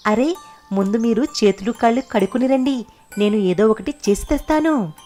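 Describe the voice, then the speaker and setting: A woman's voice: fairly high pitch, normal speed, warm tone, faint music behind, close to an everyday voice. A woman playing a caring, resourceful village wife who speaks reassuringly in a cartoon story.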